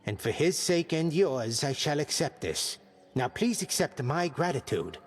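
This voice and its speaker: A deadpan skeleton drill commander. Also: male voice, a little high, measured pace, long reverb tail, music in the background. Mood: gruff joking. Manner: deadpan jokes mixing archaic and slang words. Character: jokey male skeleton drill commander, gruff. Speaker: combat trainer.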